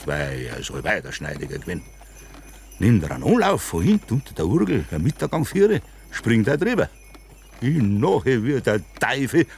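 A hearty, middle-aged Bavarian carter in an old radio play. His voice swings widely in pitch as he spins yarns and laughs along with everyone. He has a broad, homely Bavarian accent. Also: a little hiss or hum. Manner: hearty storytelling, quick to laugh. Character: jovial middle-aged Bavarian man, friend of the deceased. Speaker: cart driver carrying his friend's coffin. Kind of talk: 1960s radio play acting.

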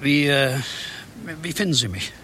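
An actor in a radio play playing an insecure, flustered office programmer who stammers, halts and clears his throat. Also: mid-range voice, animated, unhurried, sharp tone, a little hiss or hum. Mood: anxious, awkward.

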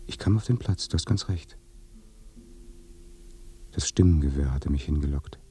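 An actor playing a haunted, weary dead son in a German radio play, speaking in a hushed, brooding way. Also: male voice, extremely low pitch, moderate pace. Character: dead son recounting his death by fear, haunted, weary. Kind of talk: German radio play acting, slow intimate monologue.

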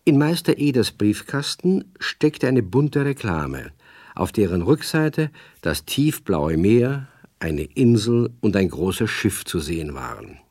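A man narrating a children's radio story in a measured, expressive storytelling manner. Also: fairly low pitch, slightly rough, no room sound, studio quality.

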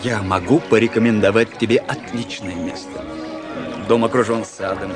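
A man's voice: lowish voice, steady tone, normal speed, natural voice. An actor playing a vain, embittered young military cadet in a radio drama, pompous and affected in his declamation. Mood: hearty, eager. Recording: radio play with prominent music behind. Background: music.

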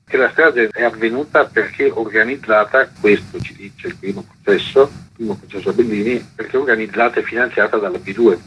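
A man giving careful, articulate explanations as a journalist interviewed on the radio, in a measured way. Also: lowish voice, a bit bright. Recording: muffled, noisy radio line.

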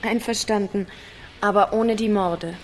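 A woman's voice: mid-range voice, fairly even intonation, unhurried, faint music behind, natural voice. Wary, blunt teenage village girl, played by a woman. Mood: shyly affectionate. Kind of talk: naturalistic radio drama dialogue.

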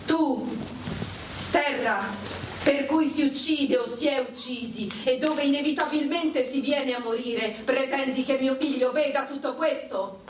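A woman performing a dramatic poetic monologue about war in a slow, theatrical delivery. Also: sits high. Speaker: performer reciting. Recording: echoey, distant pickup with background noise.

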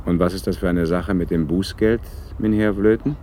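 An actor playing a reserved, sceptical police sergeant in a German radio crime drama. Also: male voice, deep voice, steady tone, moderate pace, mellow, a little hiss or hum, close to an everyday voice. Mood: grudging.

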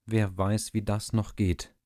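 A worried young miller's daughter turned queen read by a man speaks softly and slowly. His voice stays low and mellow, recorded dry and close. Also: level tone, no room sound.

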